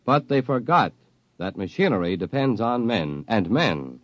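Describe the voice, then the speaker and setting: A man's voice: lowish voice, very animated, unhurried, no room sound. A man narrating a programme preview in a grand, portentous 1950s radio announcing style. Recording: muffled vintage 1950s radio recording.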